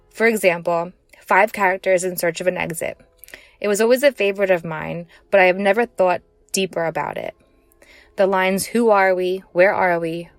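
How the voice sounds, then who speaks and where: A woman's voice: fairly low pitch, no room sound. A woman giving a prepared personal commentary as a listener contributing to a podcast.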